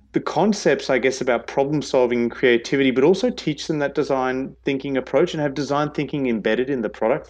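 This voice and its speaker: A man being interviewed on a podcast, talking enthusiastically and restarting words as he goes. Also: mid-range voice. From Australia. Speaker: guest, innovation expert. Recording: dull lo-fi sound.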